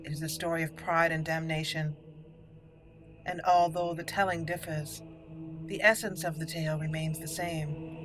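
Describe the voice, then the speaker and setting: Woman doing fantasy game roleplay narration, deliberate storyteller delivery, soft music underneath. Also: low voice, level tone, low-quality recording. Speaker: gaming channel host. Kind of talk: roleplay game commentary and dramatic lore reading. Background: faint game music.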